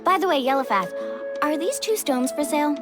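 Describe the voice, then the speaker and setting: A woman's voice: sits high, animated, a little fast, over music. A woman playing an upbeat, easygoing young shopkeeper in a video game, breezy and chatty as she organises her friends. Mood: cheerful. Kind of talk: video game character acting.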